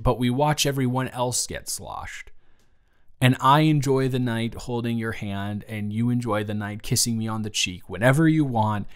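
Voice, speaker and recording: A man improvising a romantic audio roleplay as an awkward, teasing young man talking to his best friend, with a hesitant, stammering delivery. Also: medium pitch. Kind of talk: improvised romantic audio roleplay, intimate one-sided conversation.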